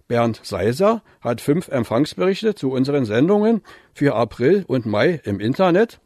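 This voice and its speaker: A man's voice: mid-range voice, a little mellow, dry recording, studio quality. A man presenting listener mail on radio, reading from a script in a formal, list-like manner.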